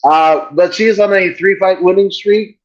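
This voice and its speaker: A man's voice: high voice, moderate pace, crowd noise. A man hosting a podcast in casual chat, profane and rambling, joking as he goes. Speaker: podcast host. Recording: dull lo-fi sound.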